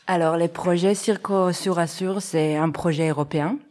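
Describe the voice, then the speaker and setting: A woman from France with a notably deep voice talks through interview answers about her work as a co-director. She hesitates often and fills her pauses with small filler sounds. Her tone stays casual and conversational. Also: fairly even intonation, a little mellow. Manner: hesitant, many fillers, laughs easily. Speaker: artistic co-director.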